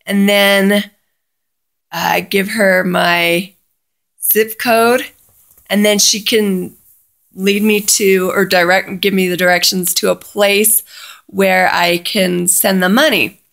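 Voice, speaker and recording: A woman speaks with noticeable vocal fry at a middling pitch. She is casual and chatty, recounting her own experience as a story and slipping into quoted dialogue as she goes. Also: fairly even intonation, slow pace, a bit bright. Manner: chatty, recounts dialogue by quoting both sides. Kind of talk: casual first-person storytelling.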